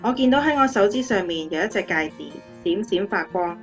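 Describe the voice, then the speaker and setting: An actress playing an adoring young fiancée in a radio drama interview, earnest and affectionate. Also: female voice, mid-range voice, animated, a little fast, natural voice. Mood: loving. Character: adoring, happy young fiancée of a motivational speaker. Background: guitar music.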